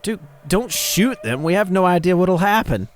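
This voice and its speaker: A man's voice: high voice, animated, normal speed, voice pushed up. Man playing an earnest young woman monster-hunter. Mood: pleading. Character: young mountain woman, earnest amateur monster-hunting vlogger.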